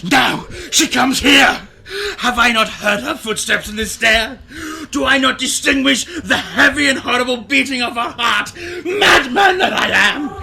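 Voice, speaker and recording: A haunted guilty madman in a gothic radio play, gravelly, theatrical, drags his words out, noisy background. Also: on the high side, pushed and bright, heavily acted. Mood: frenzied terror. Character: Roderick Usher, tormented, guilt-ridden madman in a gothic mansion. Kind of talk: gothic radio drama acting.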